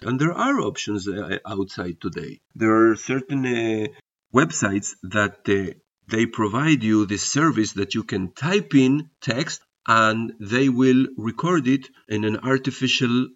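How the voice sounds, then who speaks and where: A man whose first language is not English, hosting a podcast and narrating stories in a reflective, measured way, with hesitant fillers when he speaks off-script. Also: medium pitch. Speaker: podcast host. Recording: muffled lo-fi recording.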